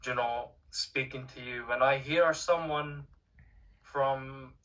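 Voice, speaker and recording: A young man whose accent mixes Cork and Filipino, talking as a podcast guest and often pausing with fillers and restarts. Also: mid-range voice, steady tone, pushed and bright, recorded from a distance, low-quality recording. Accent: mixed Cork and Filipino. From Ireland. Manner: hesitant, many fillers and restarts. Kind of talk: casual conversation.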